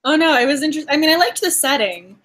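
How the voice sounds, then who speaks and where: A woman from Massachusetts talks through a cozy mystery with a friend, her voice sitting high and lively. She stumbles happily through half-finished thoughts, laughing and playing out what she felt while reading. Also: quick pace. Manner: animated, laughs often, acts out reactions. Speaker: book club host. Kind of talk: casual book discussion.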